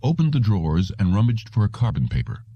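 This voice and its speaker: Deep, very mellow man reading a story. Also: wildly swooping pitch, quick pace, breathy edge, dry recording, lo-fi sound. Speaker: audiobook narrator. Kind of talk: scripted literary narration.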